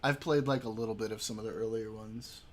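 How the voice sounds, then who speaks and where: A man's voice: on the low side, steady tone, fast talker. A young adult man streaming, talking in a loose, joking, profane way while reacting to a debate. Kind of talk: casual livestream commentary.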